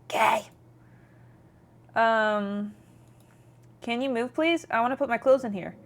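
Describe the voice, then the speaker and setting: A woman's voice: on the high side, slow. A woman streamer reading game narration aloud with playful, chatty casual commentary.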